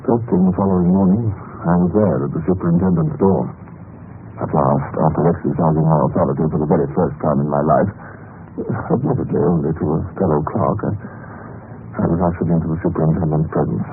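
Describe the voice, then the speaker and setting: An actor playing a meek, sorrowful clerk who tells his own tale in measured radio drama narration. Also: rumbling low. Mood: rueful. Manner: measured, atmospheric, literary storytelling. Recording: tinny vintage radio recording under music.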